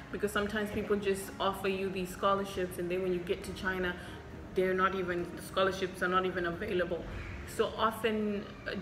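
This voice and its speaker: Low-voiced woman doctor vlogging. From Zimbabwe. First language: Shona. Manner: chatty and candid, frequent ums. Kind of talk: casual Q&A vlog. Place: hospital, indoors. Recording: echoey room with background noise.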